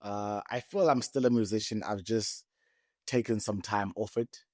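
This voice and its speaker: A man's voice: lowish voice, fairly even intonation, moderate pace, a little mellow, dry recording, studio quality. A man from Cape Town, South Africa, telling his story in a laid-back way as a podcast guest, often checking in with "right?". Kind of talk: reflective interview storytelling.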